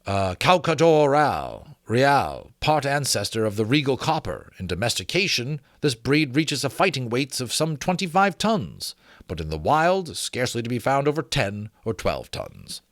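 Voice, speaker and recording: A man reading a book aloud as its host, in an expressive, emphatic, dramatic storytelling style with a casual touch. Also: medium pitch, moderate pace, no echo, studio quality. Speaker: host reading a novel aloud with his own asides.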